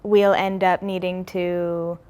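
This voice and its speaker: A young woman talks through creative work in an interview. Her voice sits slightly low, and she explains things as a writer and co-creator would. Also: little pitch movement, slow, mellow, clear, resonant tone.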